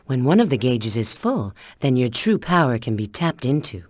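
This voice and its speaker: A woman's voice: extremely low pitch, very animated, normal speed, a little mellow, dry recording, studio quality, exaggerated voice. A woman voicing a confident, teasing bat treasure hunter in a video game, coy and instructive. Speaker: video game character giving hints. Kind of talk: video game voice acting, tutorial prompts.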